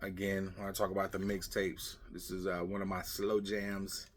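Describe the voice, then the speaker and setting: A man's voice: sits low, completely flat delivery, normal speed. A middle-aged man chatting casually on a vlog, muttering asides in a rambling way as he sorts through things. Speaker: vlog host. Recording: clear background noise with clicking, clattering.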